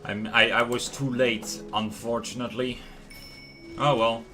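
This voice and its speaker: A man doing casual live game commentary and reading dialogue aloud, in a halting, playful way. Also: medium pitch, little pitch movement. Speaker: game livestreamer. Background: game music.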